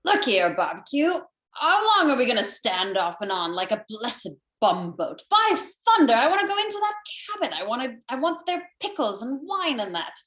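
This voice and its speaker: A woman's voice: fairly high pitch, sing-song, normal speed, fairly bright tone. Woman as an echoey, impatient pirate crewman. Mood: impatient, grumbling. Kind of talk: radio play reading. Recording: echoey room, remote call.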